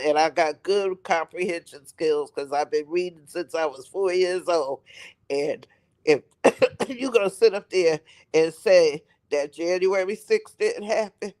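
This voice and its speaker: A man with a raspy voice from a head cold, rambling on a live stream and repeating himself for emphasis. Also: high voice, a little mellow. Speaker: livestream host. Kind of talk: casual live-stream commentary.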